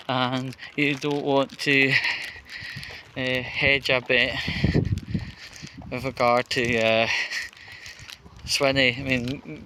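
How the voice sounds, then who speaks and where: Slow, bright-voiced man commenting outdoors. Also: mid-range voice. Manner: halting, emphatic, repeats words. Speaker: vlogger. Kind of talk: political commentary, off-the-cuff monologue. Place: outdoors on a busy street, walking. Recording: noisy street ambience. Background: street noise.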